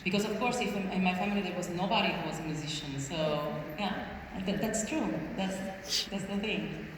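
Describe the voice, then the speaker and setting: A woman speaks brightly and with emphasis in a lowish voice. Heavy hall reverb and faint noise surround her. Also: brisk. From Naples, Italy. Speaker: opera singer on a panel.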